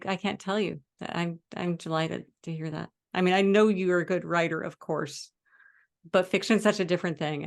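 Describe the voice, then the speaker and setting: Low, very creaky-voiced woman chatting casually. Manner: effusive, halting, informal. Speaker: host, author. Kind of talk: casual chat.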